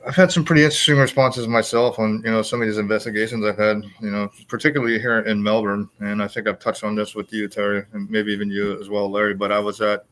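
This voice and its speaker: Middle-aged male podcast host, lower voice, rambling anecdotal delivery. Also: flat delivery, fast, hardly any pauses, a bit bright, low-quality recording. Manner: rambling, filler-heavy storytelling. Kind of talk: casual chat, storytelling.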